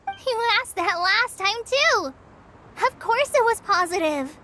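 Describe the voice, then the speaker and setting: A woman voicing a tiny, cheeky, childlike fairy companion in a video game, with a squeaky, exclamatory delivery. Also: very animated, slow pace, bright, forceful tone, a little hiss or hum, full character voice. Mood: cheerful. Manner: refers to self in third person, exclamatory. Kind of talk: video game character voice acting.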